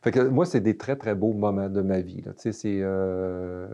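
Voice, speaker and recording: Man, Québécois accent, late fifties, low dark voice, halting delivery. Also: flat delivery, muffled recording. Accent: Québécois French. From Quebec. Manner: hesitant, many fillers and restarts. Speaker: guest. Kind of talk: casual interview talk.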